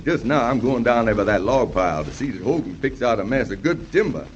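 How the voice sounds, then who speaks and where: A man's voice: mid-range voice, expressive, fairly quick, close to an everyday voice. A man playing a hearty, modest middle-aged American bridge engineer in an old children's radio serial, folksy and fatherly with hesitant turns. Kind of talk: 1930s-40s children's radio serial acting. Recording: muffled old radio broadcast with hiss.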